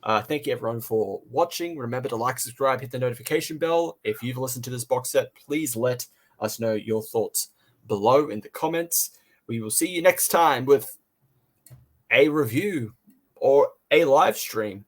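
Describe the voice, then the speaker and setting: A man's voice: mid-range voice, normal speed. A man co-hosting a casual fan review, talking in an enthusiastic, joking way and often repeating words as he goes. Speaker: podcast co-host and reviewer.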